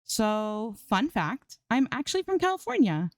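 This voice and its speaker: A woman's voice: fairly high pitch, sing-song, a little slow, no room sound, studio quality, pitched above the performer's own voice. A woman voicing a bubbly, playful young gamer and pop idol in a story reading, with chirpy, whiny banter. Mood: cheerful. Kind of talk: storybook character dialogue in audiobook reading.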